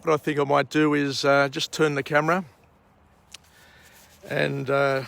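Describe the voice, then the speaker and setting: Croaky slow-talking Australian man outdoors. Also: a little high, flat delivery, a bit bright. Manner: slow, rambling, frequent uh and um. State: croaky voice after a night of drinking. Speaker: vlog host. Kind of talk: outdoor morning vlog and book summary. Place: outdoors at a vineyard at sunrise.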